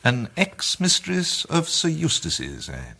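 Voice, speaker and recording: An actor playing a wry, urbane amateur detective in a radio drama, with clipped delivery. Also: male voice, on the high side, huge pitch swings, slow, vocal fry, pushed and bright, slightly rough, heavily acted. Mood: prompting.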